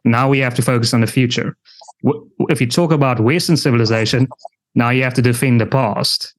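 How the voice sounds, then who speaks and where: A man hosting an interview, pushing back conversationally and thinking strategically out loud. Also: mid-range voice, steady tone, fairly quick. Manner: conversational, persuasive, thinking aloud. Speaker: podcast host. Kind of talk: interview discussion.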